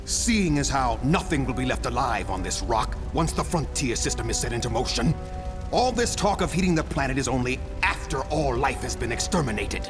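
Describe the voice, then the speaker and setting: A man plays a gloating officer villain for a video game. His mid-pitched voice is very animated, sardonic and menacing, with music clearly behind it. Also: a little fast, close to an everyday voice. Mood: smug, taunting. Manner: taunting, sardonic. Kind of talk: scripted video game acting.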